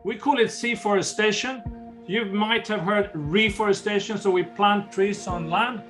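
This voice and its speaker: A man's voice: high-pitched, normal speed, fairly bright tone, soft background music. An older man with a Swedish accent, earnestly explaining ocean conservation in an interview and often restarting phrases. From Sweden. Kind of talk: interview answers.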